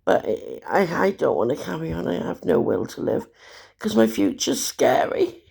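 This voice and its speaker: A woman, hoarse from a cold, talking in a despairing, rambling way about her troubles. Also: low-pitched, very animated, normal speed, a little mellow. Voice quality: gravelly. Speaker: vlogger. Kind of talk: rambling personal monologue.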